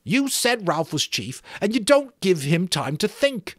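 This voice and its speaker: Shrill, indignant schoolboy. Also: male voice, very animated, measured pace, a bit bright, no echo, studio quality, exaggerated voice. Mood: indignant scolding. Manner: shrill, whining, protesting, gasping delivery. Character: indignant, panicky schoolboy outcast, scolding the others. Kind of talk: dramatic audiobook reading of a novel.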